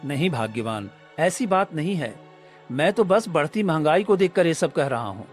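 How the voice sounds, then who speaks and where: A man acting a high-pitched greedy cartoon husband. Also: very animated, moderate pace, soft background music. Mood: defensive. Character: greedy, scheming husband who runs a paratha food cart. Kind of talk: Hindi cartoon story acting.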